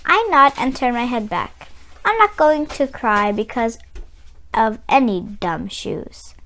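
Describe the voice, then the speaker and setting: A woman reading a picture book aloud to children, with slow, expressive, deliberate phrasing. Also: a little high. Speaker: children's read-aloud channel host.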